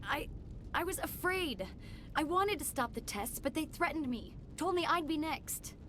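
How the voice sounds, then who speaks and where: A young female scientist for a sci-fi game. The voice is high, earnest and troubled by guilt. A faint rumble lies in the background. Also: lively intonation, unhurried, a bit bright. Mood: uneasy, remorseful. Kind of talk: video game voice acting.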